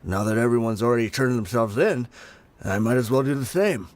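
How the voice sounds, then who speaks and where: A man's voice: medium pitch, brisk, soft background music, lightly acted. A man playing a plain-spoken, conciliatory male mercenary in a video game, explaining himself in a resigned, matter-of-fact way. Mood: resigned, explanatory.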